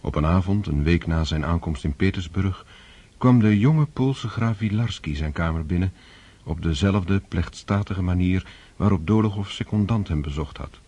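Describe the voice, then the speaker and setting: A man's voice: sits low, moderate pace, mellow, breathy edge. A man reading scripted narration for a radio drama as its storyteller. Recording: dull lo-fi radio recording, music behind. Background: music.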